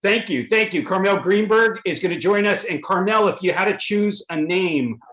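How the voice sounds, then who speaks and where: A man hosting a webinar, introducing the panelists in a halting way, with many fillers and restarts, over a video call. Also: high voice, a bit bright. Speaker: webinar host and moderator. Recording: echoey room audio.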